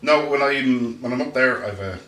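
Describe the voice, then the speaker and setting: A man in his forties with a Dublin accent, an author answering interview questions quickly, often restarting his phrases. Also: medium pitch, fairly bright tone, roomy sound, distant mic. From Ireland. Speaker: author, guest.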